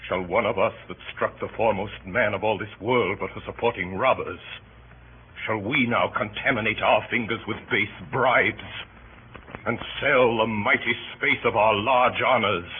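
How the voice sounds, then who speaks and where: A man's voice: fairly low pitch, moderate pace, close to an everyday voice. An actor playing a stern, righteous Roman nobleman with rhetorical, scornful delivery in a classical radio drama. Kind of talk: classical Shakespearean radio acting. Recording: tinny, noisy old radio recording.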